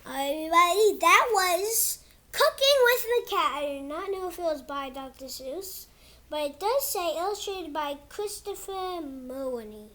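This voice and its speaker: A child hosting a read-aloud of a picture book, reading haltingly like a beginner and drawing words out. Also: very high voice, lively intonation, bright, forceful tone.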